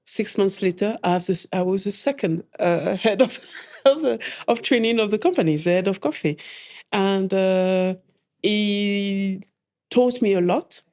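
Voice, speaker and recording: A woman talks casually as an interview guest about her work. Her voice is warm and mellow at an ordinary pitch. She laughs often and pauses with "uh" and small restarts. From France. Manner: chatty, laughs often, many fillers and restarts. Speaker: coffee trainer guest.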